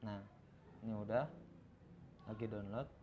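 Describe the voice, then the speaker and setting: A man giving an informal software tutorial, explaining in a halting, self-repeating way as a teacher. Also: on the low side. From Indonesia. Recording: dull lo-fi microphone, clear background noise.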